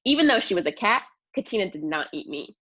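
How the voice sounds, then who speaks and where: A woman teacher giving an expressive read-aloud lesson to young children, explaining and asking questions as she goes. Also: medium pitch, fast, husky, highs cut off.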